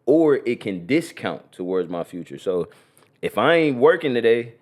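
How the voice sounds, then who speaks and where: A man's voice: mid-range voice, very animated, moderate pace. A young man from Columbus, Ohio, co-hosting a podcast in a loose, slangy, casual chat. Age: young adult. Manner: loose, slangy, frequent "you feel me". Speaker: podcast co-host.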